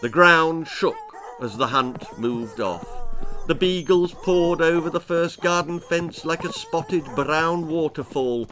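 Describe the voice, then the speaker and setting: A man with an English accent reading a children's story aloud in an expressive, theatrical and deliberate way. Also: fairly high pitch, slight background noise, rough recording. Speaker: author reading his own story.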